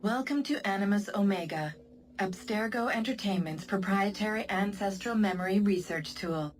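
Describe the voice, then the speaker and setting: An actress playing a calm computer system in a video game, reading formal announcements in a measured, impersonal way. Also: female voice, mid-range voice, distant mic, soft background music, lightly acted.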